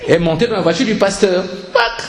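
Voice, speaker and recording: A man's voice: sits high, fast talker, a loud crowd. A man preaching a church sermon as a pastor, telling stories and exhorting with emphatic repetition. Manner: animated storytelling with repetition for emphasis. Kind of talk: Sunday church sermon, storytelling exhortation and call to prayer. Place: indoor church service. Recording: roomy church sound with music.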